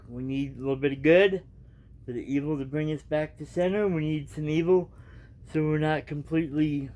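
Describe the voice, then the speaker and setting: A man reading aloud and giving his reaction as a vlogger, in an informal, halting, rambling way. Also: a little high, darkish tone.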